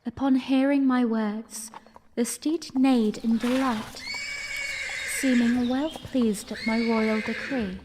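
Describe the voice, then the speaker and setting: A woman playing a young princess who narrates her memories in an audio drama, ladylike, playful and fond, with a measured manner. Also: a little high, fairly even intonation, big-room sound, background noise. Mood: warm, composed. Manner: measured, ladylike, lightly amused.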